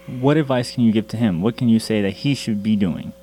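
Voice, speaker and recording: Male podcast host, somewhat low voice, joking and chatty. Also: a little fast, mellow, slight background noise, rough recording. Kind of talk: casual interview talk.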